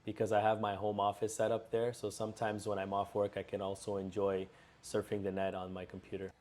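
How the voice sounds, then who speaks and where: A young man, answering a mock speaking test in measured, slightly hesitant replies. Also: fairly low pitch, monotone, a little mellow. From Spain, raised in Canada. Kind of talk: answering interview questions.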